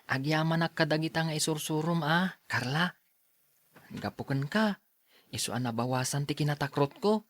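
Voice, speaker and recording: An actor playing a timid, earnest youth in a radio drama, speaking plaintively and deliberately. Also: medium pitch, no room sound, close to an everyday voice. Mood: grateful. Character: timid earnest youth Aljun, son and younger brother.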